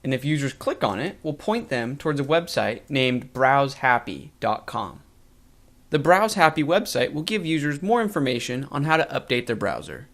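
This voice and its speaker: Lively-pitched male tutorial narrator. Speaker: web design course instructor.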